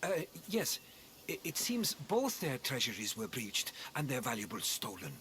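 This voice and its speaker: A man's voice: medium pitch, huge pitch swings, sharp tone, slight background noise. A man playing a mild, learned scholar companion in a video game, erudite and diplomatic. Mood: earnest, explaining. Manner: measured, erudite, conciliatory.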